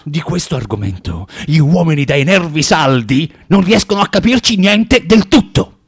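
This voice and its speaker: An actor playing a bitter, tormented man of forty in a theatrical radio monologue, halting and repeating himself as he swings between muttering and outbursts. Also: a little high, expressive, gravelly, breathy edge, full character voice. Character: bitter, tormented forty-year-old underground man, spiteful and self-contradicting.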